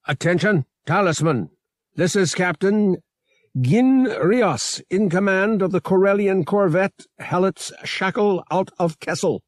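A man's voice: sits high, a little slow, dry recording, clean studio sound, voice pushed up. A man voicing a stern, officious warship captain in an audiobook, formal and relentlessly commanding.

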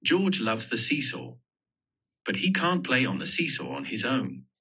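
A man's voice: mid-range voice, sing-song, measured pace, creaky, sharp tone, breathy edge. A man reading a children's picture book aloud, telling the story simply and expressively. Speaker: storybook reader. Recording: dull lo-fi audio.

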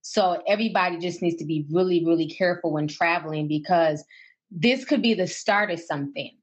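A woman's voice: fairly low pitch, brisk. A woman hosting a call-in show, chatting casually and giving listeners advice.

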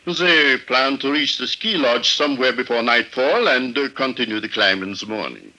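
An actor playing a big, happy French innkeeper in an old-time radio drama, with an effusive manner and a booming voice. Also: male voice, normal speed, pushed and bright. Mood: obliging. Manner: effusive, peppering talk with French. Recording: vintage radio drama recording.

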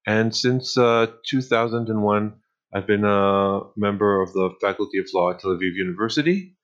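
A middle-aged man with a faint Israeli accent speaks in a somewhat low voice, pausing and weighing his words. The line sounds muffled and lo-fi. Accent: light Israeli. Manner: thoughtful, hesitant with pauses. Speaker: guest scholar. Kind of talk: interview answers.

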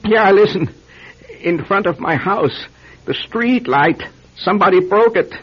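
High-voiced, frightened old recluse. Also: male voice, expressive. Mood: agitated pleading. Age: older. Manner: agitated, halting, stammering, repeating himself. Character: fearful, paranoid old recluse man, pleading and suspicious. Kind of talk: old-style radio drama acting. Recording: muffled radio drama recording, faint noise.

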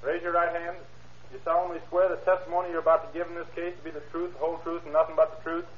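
An actor playing a brisk, formal court bailiff reciting procedure by rote in an old-time radio drama. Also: male voice, a little high, level tone, natural voice. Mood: brisk, official. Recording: muffled, noisy vintage radio recording.

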